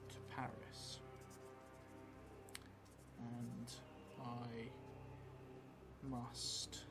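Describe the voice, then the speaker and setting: A man in his forties, narrating a solo role-playing game and thinking aloud in a musing, halting, muttering way. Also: mid-range voice. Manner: musing, halting, drifting into muttering while writing. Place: indoor theatre stream set, seated at a table. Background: background music.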